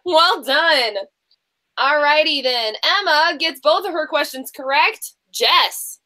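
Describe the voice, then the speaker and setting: High, bright-voiced woman hosting a quiz. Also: takes it slowly. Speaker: quiz show host. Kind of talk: hosting an online book quiz, casual chat.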